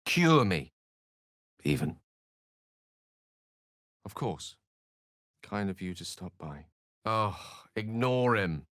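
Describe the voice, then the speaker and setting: A learned male wizard from a fantasy video game, speaks in a slightly low voice that swoops expressively. His phrasing is wordy and theatrical, witty yet earnest, with a melancholic streak. The recording is close and dry. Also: expressive, takes it slowly, pauses often, fairly bright tone, no room sound. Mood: confiding. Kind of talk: video game character voice lines.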